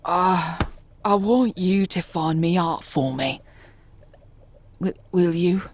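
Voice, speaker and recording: A woman's voice: lowish voice, slow. A woman voicing an eccentric, menacing, ancient street crone in a comic dub, rambling and cajoling with old-fashioned endearments. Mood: pleading. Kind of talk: comic dub character acting.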